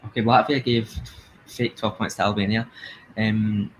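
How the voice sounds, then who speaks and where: Young scottish guy from near glasgow streaming, low voice, giggly and hyped, quiet hum behind. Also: normal speed, poor audio. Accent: Scottish, Glasgow area. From Scotland. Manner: excitable, chatty, laughs often. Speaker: live streamer. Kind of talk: casual live-stream commentary.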